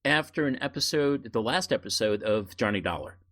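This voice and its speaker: A man's voice: medium pitch, expressive, a little fast, a bit bright. An American man in his fifties from New York City, talking casually as a podcast co-host. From New York City, United States. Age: 50s. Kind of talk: casual chat about old-time radio.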